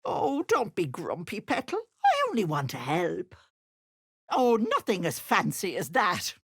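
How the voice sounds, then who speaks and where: A woman's voice: mid-range voice, huge pitch swings, unhurried, breathy edge, no room sound, clean studio sound, heavily acted. An actress voicing a kindly old Irish village woman who fusses and calls people love. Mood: cozy, motherly. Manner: folksy and fussing, calling people love. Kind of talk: video game voice acting.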